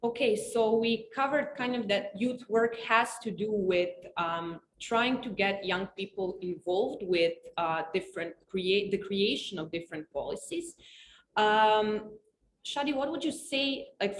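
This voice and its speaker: A woman's voice: mid-range voice, normal speed, a little hiss or hum. A woman moderating a panel discussion, courteous and organized as she introduces speakers and poses questions. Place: conference room. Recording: echoey room, faint ambience.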